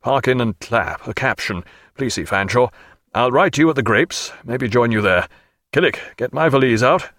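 A man reading a brisk hearty captain. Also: medium pitch, lively intonation, a bit bright, no echo, studio quality.

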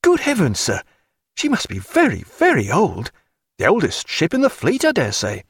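A man's voice: a little high, wildly swooping pitch, moderate pace, slightly rough, voice pushed up, heavily acted. A man voicing an eager, respectful teenage midshipman, earnest and deferential, in an audiobook. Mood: amazed, eager.

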